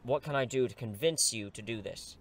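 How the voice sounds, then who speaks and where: A man's voice: medium pitch, a little fast, natural voice. An actor in an audio drama playing an earnest, persuasive teacher in his thirties who is secretly a superhero, pleading and wryly self-deprecating. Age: 30s.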